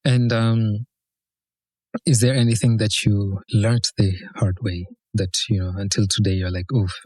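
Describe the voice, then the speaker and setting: A man's voice: on the low side, level tone, measured pace, a little mellow, dry recording. A man hosting a podcast interview, asking curious questions and adding short encouraging replies. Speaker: podcast host. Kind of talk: casual interview.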